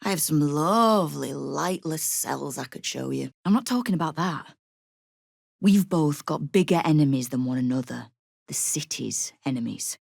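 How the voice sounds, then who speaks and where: A woman's voice: sits low, animated, normal speed, creaky, darkish tone, dry recording, studio quality, heavily acted. An actress playing a tough, sardonic woman who runs a crime guild in a video game, speaking in a wry, commanding, menacing way. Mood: cool menace. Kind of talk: dramatic video game character dialogue.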